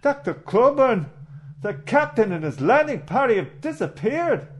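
A man voicing a folksy Welsh male engineer in a comedy audio drama, with a put-on Welsh accent and a slow, lilting delivery. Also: very high voice, very animated, full character voice.

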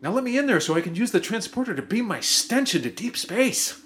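A man's voice: high-pitched, big pitch swings, brisk, fairly bright tone. A man voicing a greedy, whiny male crewman in a comedy audio drama, given to giddy squeals. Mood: complaining.

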